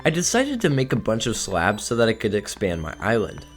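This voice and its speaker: A young man with a slightly raspy voice from a cold, casually narrating his gameplay and sometimes tripping over his words. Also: lowish voice, wildly swooping pitch, fairly quick, faint music behind. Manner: casual, offhand, trips over words now and then. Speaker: gaming video creator.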